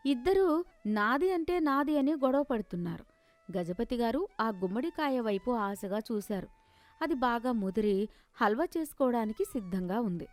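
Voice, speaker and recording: A woman's voice: on the high side, animated, normal speed, no echo. A woman narrating a scripted moral story in a storytelling style.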